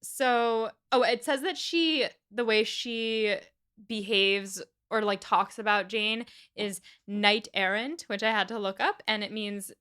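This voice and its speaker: A woman co-hosting a podcast, chatting casually and retelling a story with animated asides. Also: mid-range voice, takes it slowly, clear, resonant tone. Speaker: podcast co-host. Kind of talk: casual book discussion.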